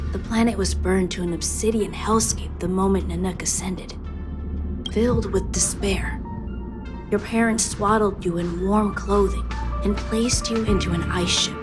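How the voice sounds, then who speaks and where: Game role: solemn female teller of fate actress, medium pitch, grave storybook delivery, music bed. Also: natural voice. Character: solemn mysterious narrator of a tragic destiny. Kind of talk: video game voice acting.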